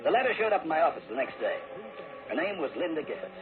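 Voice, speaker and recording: A man's voice: fairly high pitch, very animated, fast, noisy background. An actor playing a wry, flirtatious private detective who tells his own story in a 1960s radio drama, with hesitant asides. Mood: wry, admiring. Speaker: actor narrating and playing the lead. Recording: tinny old radio recording, music behind.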